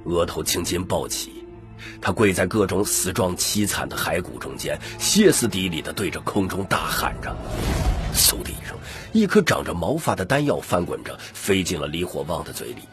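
Deep-voiced, expressive male audiobook narrator. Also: normal speed. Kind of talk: dramatic scripted story narration. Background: background music.

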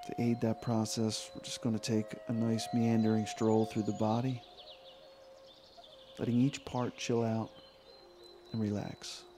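A man leading a guided meditation in an informal, soothing way, with unhurried phrases and long pauses. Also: on the low side, level tone, dark tone, slight background noise. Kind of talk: guided meditation, yoga nidra body scan.

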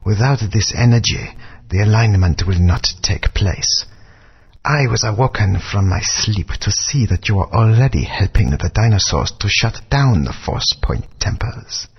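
An actor playing a solemn ancient alien guardian spirit in a video game, speaking in slow, grave, whispery pronouncements. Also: male voice, fairly low pitch, expressive, exaggerated voice. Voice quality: husky, whispery. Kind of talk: game voice acting. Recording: dull lo-fi game audio.

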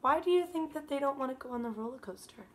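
A woman in her thirties, a kindergarten teacher, reading a picture book aloud to children in an animated, expressive way. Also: sits high, a little hiss or hum. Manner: animated, expressive, drawn-out emphasis on words. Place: small living room by a fireplace, indoors.

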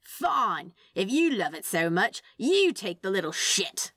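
A woman's voice: medium pitch, very animated, slow, a bit bright, no echo, clean studio sound, exaggerated voice. A woman voicing a snappy, exasperated, paranoid male demon in a storybook reading. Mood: alarmed, indignant. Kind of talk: storybook character voice in fan fiction reading.

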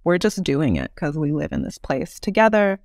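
A woman in her thirties explaining ideas as an interview guest, articulate and measured, with polished professional phrasing. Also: sits low, very animated, darkish tone, dry recording, clean studio sound. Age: 30s. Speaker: podcast guest.

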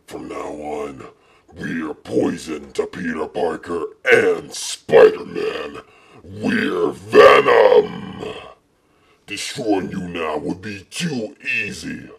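A man voicing a vengeful, gloating alien monster villain with a growling, gravelly voice and slow, menacing delivery. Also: low voice, lively intonation, fairly bright tone, exaggerated voice. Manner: slow, menacing, drawn-out threats.

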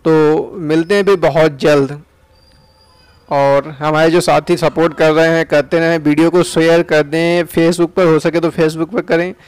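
A man's voice: on the high side, flat delivery, a little slow, clean tone, no echo. A young man from India with an Uttar Pradesh Hindi accent, casually narrating a ride through town streets outdoors as a chatty vlogger. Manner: chatty, tag questions like "है ना".

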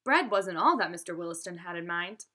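A young woman reads aloud like a teacher guiding a class. Her pitch moves in lively swings, and her delivery is expressive and instructive. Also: a little fast. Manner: instructive, addresses listeners with questions. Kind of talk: expressive storybook read-aloud with asides to students.

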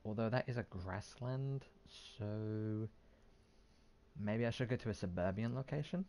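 A man's voice: on the low side, a little slow, warm tone. A man hosting a gaming video, giving casual commentary as he plays, on a close mic.

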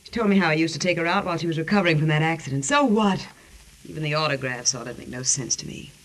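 An actress playing a flippant, sharp-tongued dame in a 1940s radio detective drama. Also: female voice, low voice, fast talker, natural voice. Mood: irritated. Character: sassy, tough noir dame, young woman. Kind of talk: 1940s radio noir acting.

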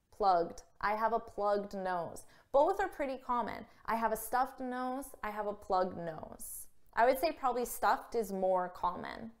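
Slow, clear woman teaching vocabulary. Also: mid-range voice. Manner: clear, careful, demonstrative with example phrases. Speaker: English teacher. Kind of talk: scripted vocabulary lesson to camera. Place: small indoor room against a plain wall. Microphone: camera framed at chest height.

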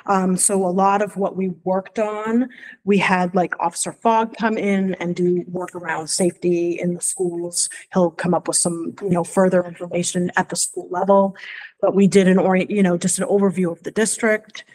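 A woman speaking as school superintendent, giving an informal, somewhat halting report at a board meeting in a library room. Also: fairly low pitch, flat delivery, darkish tone, rough recording.

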